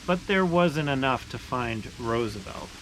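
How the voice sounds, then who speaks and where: A man reading a picture book aloud to children with expressive, deliberate phrasing, stressing words as he narrates. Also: mid-range voice, poor audio. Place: beside a boiling maple sap evaporator. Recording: steady ambient background noise.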